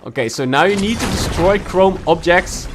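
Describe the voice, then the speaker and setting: A man narrating a video game walkthrough, giving steady, instructive explanations of each challenge. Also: high-pitched, moderate pace, music in the background. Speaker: gaming guide youtuber.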